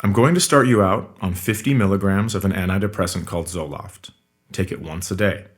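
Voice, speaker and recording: A man's voice: deep voice, fairly quick, natural voice. A man playing a businesslike doctor in a naturalistic audio drama, clinical and matter-of-fact. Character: businesslike male doctor questioning a patient.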